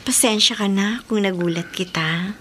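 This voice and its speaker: An actress playing a mysterious, alluring spirit woman in a horror radio drama, speaking in a measured, beckoning way. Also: medium pitch, a bit bright, slight background noise, natural voice. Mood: mysterious, insistent.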